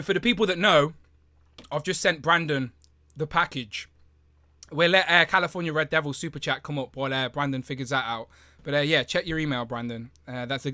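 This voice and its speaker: A man hosting a live stream, his voice going hoarse, rambling with plenty of fillers and restarts. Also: medium pitch, sharp tone, dry recording. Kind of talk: casual live stream chat.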